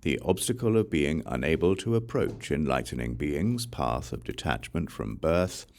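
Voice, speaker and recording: A man reading Buddhist scripture as an audiobook narrator, in a measured, solemn recitation. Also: rumbling low, animated, darkish tone. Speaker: audiobook reader.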